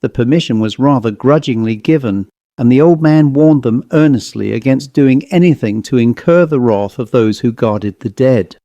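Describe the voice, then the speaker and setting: Mellow male story narrator. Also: medium pitch, moderate pace, few pauses, dry recording, highs cut off. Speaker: audiobook narrator.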